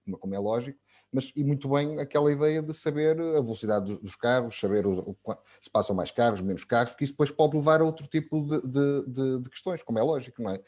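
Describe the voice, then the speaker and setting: A man's voice: mid-range voice, fast talker, darkish tone. A man with a European Portuguese accent, moderating an online talk and laying out his points at length, often repeating words as he thinks. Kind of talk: webinar moderation.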